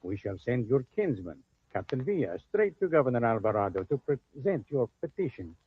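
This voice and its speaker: An actor playing a kindly, dignified mission steward, formal and courteous, in an old-time radio drama. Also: male voice, mid-range voice, big pitch swings, moderate pace, husky, dry recording, narrow, tinny audio. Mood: courteous, assuring. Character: kindly, dignified major-domo of a Spanish mission. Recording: phone-thin vintage radio recording.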